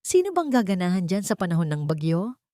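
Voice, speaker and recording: A calm, unafraid young Filipino nanny, voiced by a woman reading an audiobook. She speaks softly and casually, gentle and matter-of-fact. The pitch lilts up and down freely, and the sound is close and dry. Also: fast, no echo. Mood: wry muttering. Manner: soft, casual, murmuring. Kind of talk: audiobook character dialogue.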